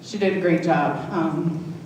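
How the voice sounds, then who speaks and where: A woman's voice: low voice, fairly even intonation, a little slow, a little mellow. A woman, likely middle-aged, giving an informal, affectionate thank-you speech as a school drama director. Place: large indoor hall at a live event. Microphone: distant event microphone. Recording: very echoey hall from far off, faint noise.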